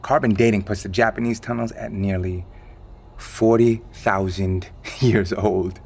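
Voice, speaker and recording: An actor playing an evasive male insider who calmly explains a secret catastrophe in an audio drama, in a measured, matter-of-fact way. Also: lowish voice, creaky, husky, exaggerated voice. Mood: expository, intrigued.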